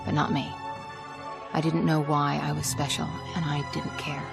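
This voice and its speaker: A woman's voice: low-pitched, little pitch movement, moderate pace, vocal fry. An actress playing a hardened, world-weary woman who survived a zombie outbreak, narrating a game cutscene in a brooding, terse way. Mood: grim, detached. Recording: loud music over the voice.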